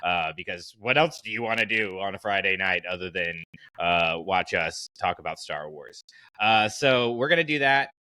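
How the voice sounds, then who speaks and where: A man's voice: deep voice, expressive, normal speed, bright, forceful tone, dry recording. A man hosting a podcast in a casual, informal and enthusiastic chatty style. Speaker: podcast host.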